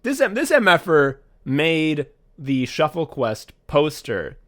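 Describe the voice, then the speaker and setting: A man's voice: fairly high pitch, big pitch swings, slow, a bit bright. A young man co-hosting a casual podcast, rambling loosely, laughing often and swearing freely. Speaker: podcast co-host.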